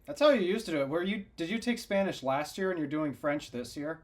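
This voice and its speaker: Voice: medium pitch, lively intonation, brisk. A co-host on a casual tech podcast, teasing the host and laughing often.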